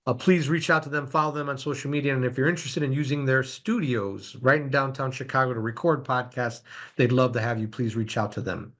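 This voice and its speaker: Male podcast host, mid pitch, effusive intro voice, repeats himself for emphasis. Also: a little fast, a bit bright.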